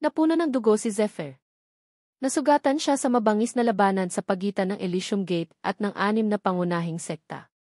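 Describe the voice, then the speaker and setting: A woman's voice: medium pitch, a little fast, darkish tone, no room sound. Woman narrating a novel, very dry sound. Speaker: audiobook narrator.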